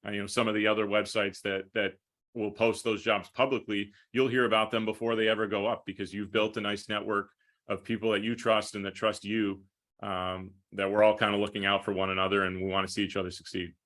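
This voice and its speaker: Guy with a lowish, crisp bright voice chatting in an interview, kinda thinking out loud as he goes. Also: level tone, fairly quick. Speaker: hockey play-by-play broadcaster being interviewed. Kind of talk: casual interview conversation.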